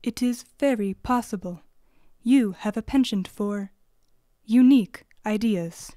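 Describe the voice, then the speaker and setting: A woman playing a calm, formal artificial guide in an audio drama, speaking in a measured, precise, deadpan way. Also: fairly high pitch, no echo, clean studio sound, close to an everyday voice. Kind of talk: scripted audio drama acting.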